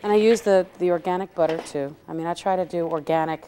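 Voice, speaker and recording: Low-voiced woman demonstrating cooking, noisy recording. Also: fairly even intonation, fast, mellow. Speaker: cook demonstrating on a cooking show. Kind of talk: casual cooking demonstration chat. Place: small TV studio kitchen set. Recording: clearly noisy TV studio recording.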